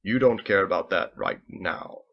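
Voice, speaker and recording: A man's voice: low-pitched, expressive, normal speed, vocal fry, heavily acted. Sly young rival student, played by a male reader, smug and teasing, storybook delivery, dull lo-fi sound. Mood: needling.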